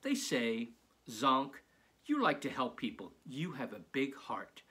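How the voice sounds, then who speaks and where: A man's voice: medium pitch, wildly swooping pitch, a little slow, pushed and bright, husky, exaggerated voice. A man voicing a friendly, chatty second-grade vegetarian zombie boy in a confiding storybook narration.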